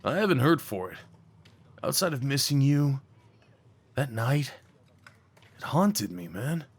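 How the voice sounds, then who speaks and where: A man playing a remorseful young former delinquent tenderly confessing old love in an intimate roleplay audio drama, speaking haltingly and earnestly. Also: medium pitch, big pitch swings, husky, exaggerated voice. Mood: pained, confessional. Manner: halting, confiding, emotionally earnest.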